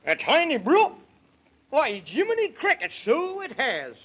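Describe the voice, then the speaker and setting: An actor playing a folksy, fussy, excitable Man in the Moon in a 1930s children's radio serial. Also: very high voice, measured pace, exaggerated voice. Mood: excited, fussy. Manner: exclaiming, rhyming, comically fussy. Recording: muffled, hissy 1930s radio recording.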